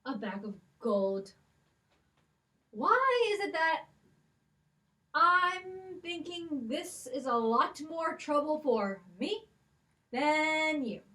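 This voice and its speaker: Woman puppeteer as plucky tired heroine, sighing and wry, lively pitch. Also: very slow, pauses often, heavily acted. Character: plucky weary young heroine Molly. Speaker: librarian puppeteer.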